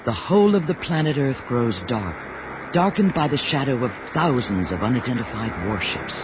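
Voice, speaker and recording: A man's voice: medium pitch, big pitch swings, moderate pace, noisy background. A man narrating a science fiction radio play in a grave, emphatic scripted style. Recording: dull sound under loud music.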